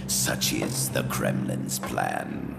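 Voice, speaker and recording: An actor playing a treacherous older Soviet spymaster in a video game cutscene, theatrical, pompous and menacing. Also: male voice, a bass voice, expressive, extremely slow, gravelly, over loud music, full character voice. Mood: smug, scheming.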